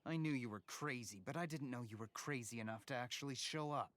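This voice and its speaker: A man's voice: mid-range voice, brisk, a bit bright, slight background noise, lightly acted. A man voicing a menacing, taunting gang thug in a video game. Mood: mocking, threatening. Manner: taunting, threatening. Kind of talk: video game character dialogue.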